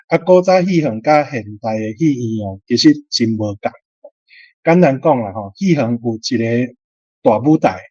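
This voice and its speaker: A man with a Taiwanese accent and a husky voice, explaining things casually as he discusses a story. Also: medium pitch, dark tone, no echo. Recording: dull, slightly lo-fi dry recording.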